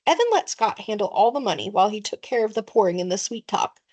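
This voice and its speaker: Female narrator, teacher-style children's book reading, expressive, very varied intonation. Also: medium pitch, quick pace, breathy edge.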